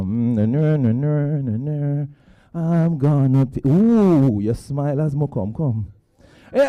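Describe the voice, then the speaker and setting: Host: energetic man, very lively pitch, warm darker tone, dry close sound, teases the crowd. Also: dry recording. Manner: playful, teasing, with local slang. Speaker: event host. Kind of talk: lively crowd hosting on stage. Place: stage at a student orientation event.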